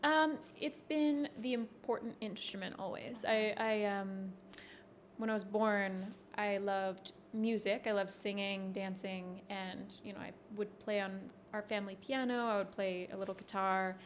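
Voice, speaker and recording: Relaxed woman in her thirties, faint hiss. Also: mid-range voice, a little slow. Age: 30s. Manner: relaxed, rambling, laughs easily. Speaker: touring bassist guest. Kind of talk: backstage interview chat. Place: backstage at a concert venue.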